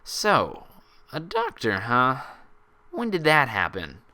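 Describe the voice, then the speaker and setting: A man's voice: medium pitch, huge pitch swings, slow, pushed and bright, voice pushed up, full character voice. A man voicing an anxious, frustrated young female pony patient in a storybook, hesitant and questioning. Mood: awkward, curious.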